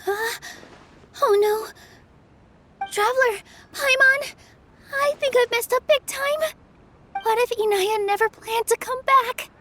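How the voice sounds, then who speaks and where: An actress voicing a kind young female stage dancer in a game cutscene, speaking in a gentle, reassuring way. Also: extremely high pitch, slow, pauses often, a little hiss or hum, heavily acted. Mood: worried. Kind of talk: video game cutscene dialogue. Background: game soundtrack music.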